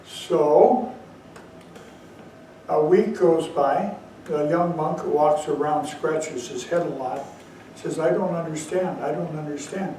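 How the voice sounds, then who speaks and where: A man's voice: a little high, takes it slowly, dark tone, a little hiss or hum. A man giving a dharma talk as Zen teacher, telling a story with bursts of emphasis. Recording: very echoey hall, mic far off.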